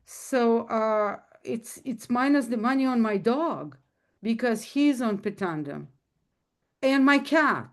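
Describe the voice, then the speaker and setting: A woman's voice: on the high side, expressive, slow. A woman with a Russian accent, speaking casually to an audience on a video call, earnest and emphatic, often repeating herself. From former Soviet Union. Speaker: guest speaker.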